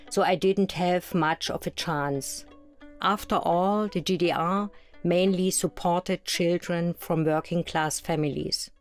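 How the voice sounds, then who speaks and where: A woman voices an East German woman looking back on her youth. Her pitch sits a little low, and she narrates in a plain, reflective documentary manner over faint music. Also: lightly acted. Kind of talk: scripted first-person documentary narration.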